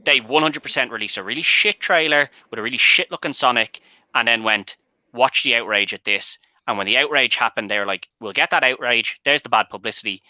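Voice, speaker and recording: Bright, forceful man arguing about movies. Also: medium pitch, a little fast, no echo, rough recording. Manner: emphatic, sweary, argumentative. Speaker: panelist and host of a movie debate show. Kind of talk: casual opinionated debate.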